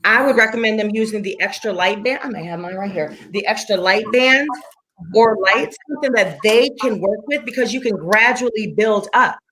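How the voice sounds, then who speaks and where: An upbeat woman in her late forties talks in a casual, chatty way about her work as a fitness instructor. She comes back to her points again and again for emphasis, and her pitch sits in a mid range. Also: normal speed, fairly bright tone, low-quality recording. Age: 40s. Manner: enthusiastic, chatty, repeats herself for emphasis. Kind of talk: casual chat.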